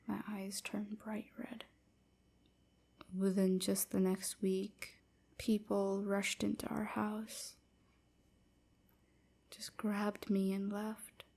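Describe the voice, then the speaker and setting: A woman playing a sorrowful young enslaved vampire in an intimate audio roleplay, speaking softly and haltingly, often near a whisper. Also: mid-range voice, gravelly. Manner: halting, wistful confiding.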